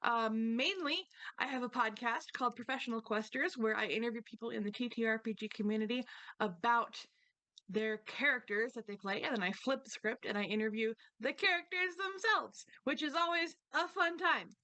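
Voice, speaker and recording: High, bright woman in a dry-sounding room. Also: normal speed, no echo. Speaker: podcast host and gaming livestream regular. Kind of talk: casual self-introduction.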